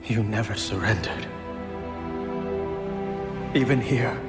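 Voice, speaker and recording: Young grieving prince, earnest and unsure; reverberant cinematic mix over heavy music. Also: male voice, on the low side, slow pace. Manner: earnest, shaken, pleading. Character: young prince grieving his fallen father. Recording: game cinematic audio with loud music and echo.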